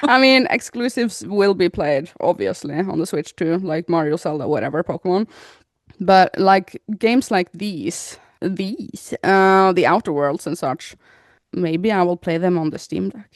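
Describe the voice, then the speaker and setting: A woman's voice: on the low side, animated, a little slow, no echo, studio quality. A woman from Norway co-hosting a casual gaming podcast, chatting in an informal, emphatic way. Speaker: podcast co-host, gaming YouTuber.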